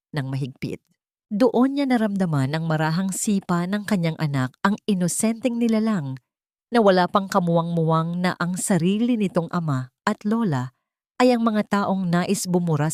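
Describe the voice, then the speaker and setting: A Filipino woman narrates a dramatic audio story from a script. Her voice sits on the low side for a woman, and the recording is very dry, with hardly any room around it. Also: a little mellow, no room sound.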